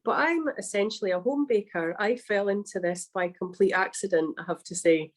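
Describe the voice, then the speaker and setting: A woman's voice: medium pitch, normal speed. A Scottish woman, a cookbook author and baker, chatting on a video call with frequent laughs. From Scotland. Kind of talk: casual chat on a livestream.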